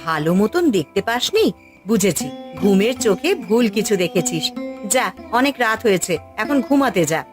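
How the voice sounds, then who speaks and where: Woman as village mum, medium pitch, lively intonation, dismissive, cartoon acting, music behind. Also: moderate pace, close to an everyday voice.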